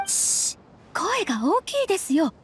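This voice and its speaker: A woman's voice: extremely high pitch, big pitch swings, unhurried, slight background noise, heavily acted. A woman playing a cautious young townswoman in a video game, polite but fretful and scolding. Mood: wary. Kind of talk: Japanese video game voice acting.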